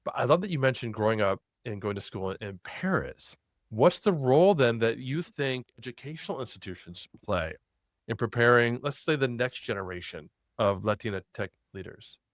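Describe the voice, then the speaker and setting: A man's voice: medium pitch, expressive, normal speed, dry recording, studio quality. A man hosting a podcast interview, chatting in a friendly, agreeing way and asking follow-up questions. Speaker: podcast host. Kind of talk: casual interview chat.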